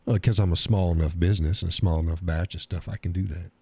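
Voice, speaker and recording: Low-voiced man, stuffy, rambling. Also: fairly even intonation, fast, a little mellow, slightly rough, dry recording. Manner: rambling, folksy, reads chat aloud. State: stuffy nose. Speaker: live stream host. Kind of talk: casual live stream chat. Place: home workshop room.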